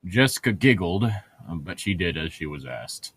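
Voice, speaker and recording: A man's voice: deep voice, sing-song, moderate pace, a bit bright, no room sound. A man co-hosting a book read-aloud, casually reading and bantering, often swearing and interrupting himself.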